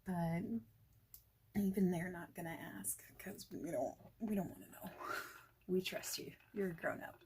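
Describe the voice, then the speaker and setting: A woman speaking softly and breathily, often near a whisper, in an informal, reassuring ASMR boss roleplay. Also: lowish voice, unhurried, a little hiss or hum. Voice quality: soft, breathy, often dropping toward a whisper. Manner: informal, reassuring, rambling chat. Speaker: ASMR creator playing a friendly boss in a job interview roleplay.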